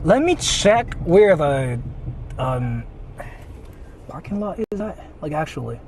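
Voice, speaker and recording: A man's voice: on the high side, sing-song, slow, darkish tone. A man with a Japanese accent, a native Japanese speaker, chatting casually and in a rambling, repetitive way as he livestreams from his car. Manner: rambling, repeats himself, crude joking. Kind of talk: casual livestream chat, reading donations. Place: inside a car while driving. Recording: noisy moving car interior.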